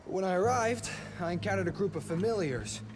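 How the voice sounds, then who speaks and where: An actor playing a nervous, eager, bookish vampire researcher, polite and pedantic, in a video game cutscene. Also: male voice, sits high, expressive, a little fast, noisy background. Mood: eager explaining.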